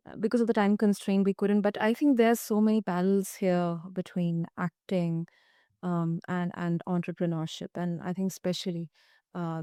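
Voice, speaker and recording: A woman's voice: medium pitch, dark tone, clean tone, dry recording, clean studio sound. A woman hosting a podcast interview in a conversational, affirming and reflective way. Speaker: podcast host.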